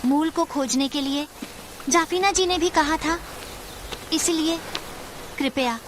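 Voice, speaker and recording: A woman's voice: high-pitched, slow, slight background noise. An earnest young fighter girl. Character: cheerful young woman fighter, hopeful. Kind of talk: dubbed video game cutscene. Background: game music.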